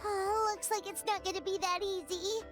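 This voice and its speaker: A woman voicing a tiny, excitable childlike companion in a fantasy video game, with a squeaky tone. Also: normal speed. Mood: curious, eager. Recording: tinkling children's-style game music behind.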